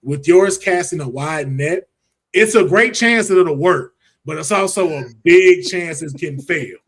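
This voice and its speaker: An American man with a slightly high voice talks casually on a panel. He is opinionated and slangy, often repeating a phrase to drive it home. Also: unhurried, fairly bright tone, breathy edge. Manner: colloquial, slangy, emphatic repetition. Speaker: podcast panelist judging picks.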